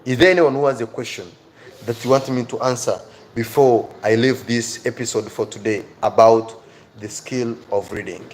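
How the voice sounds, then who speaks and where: A man with an African accent speaks as an online teacher, urging his listeners on in an earnest, emphatic way. His voice sits at a medium pitch, and he repeats key phrases to drive them home. There is faint noise behind him. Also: fairly even intonation, moderate pace, rough recording. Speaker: teacher hosting a live online lesson. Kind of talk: motivational live lesson, addressing viewers directly.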